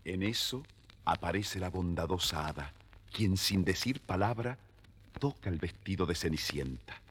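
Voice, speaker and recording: A man's voice: low-pitched, animated, unhurried, background noise. A man narrating a scripted children's fairy tale as storyteller.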